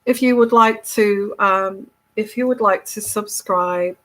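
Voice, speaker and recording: A woman talks through a congested, bunged-up nose. Her pitch sits in an ordinary middle range, and she chats casually to her audience, checking in with a frequent "okay?" and tripping over repeated words. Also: takes it slowly, a bit bright. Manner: chatty, keeps tagging "okay?", many restarts. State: congested from a cold. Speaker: tarot reader hosting her channel. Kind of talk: casual solo video monologue.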